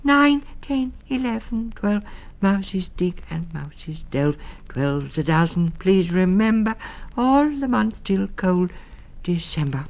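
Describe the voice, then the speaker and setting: Prim, impatient female town mouse teacher for a storybook, high and deliberate , played by a man. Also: animated, gravelly, exaggerated voice, rough recording. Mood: singsong teaching. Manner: schoolmistressy, deliberate. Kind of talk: 1960s children's storybook record.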